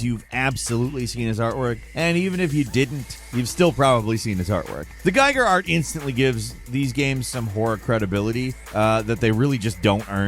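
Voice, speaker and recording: Man, conversational countdown host, middling pitch, mocking and informal, faint music underneath. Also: fairly bright tone. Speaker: host of a video game countdown show. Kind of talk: casual video commentary.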